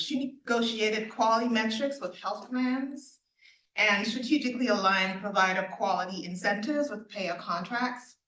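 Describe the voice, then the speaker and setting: A woman's voice: medium pitch, fairly bright tone. A woman host reading a speaker's bio aloud at a live event, formal with light asides. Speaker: event host introducing the moderator. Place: large echoey lecture room. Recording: distant echoey hall audio.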